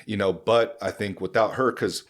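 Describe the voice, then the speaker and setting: A man's voice: on the low side, steady tone, moderate pace. A man hosting a podcast, talking earnestly in a loose, rambling way full of fillers and restarts. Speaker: podcast host. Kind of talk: casual conversational podcast.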